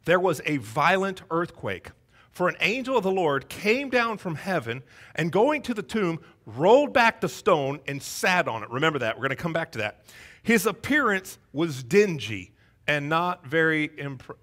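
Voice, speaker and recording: A man in his thirties with a Southern American accent, preaching an energetic, joking Easter sermon on a church stage. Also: fairly high pitch, normal speed. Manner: energetic, joking, paces the stage with wide gestures. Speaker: pastor. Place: church stage before a congregation.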